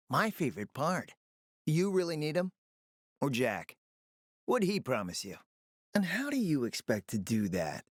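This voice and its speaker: A man's voice: mid-range voice, no echo, studio quality. An actor playing a smug, flirtatious, decadent gourmand fixer in a video game, speaking in a pompous, theatrical, condescending way and savoring his words. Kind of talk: video game character acting.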